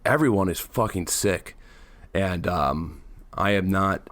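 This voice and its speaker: A man co-hosting a podcast in a casual political chat, hoarse and raspy from the flu, rambling and restarting his sentences. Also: low-pitched, slow pace, a bit bright, no room sound. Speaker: podcast co-host.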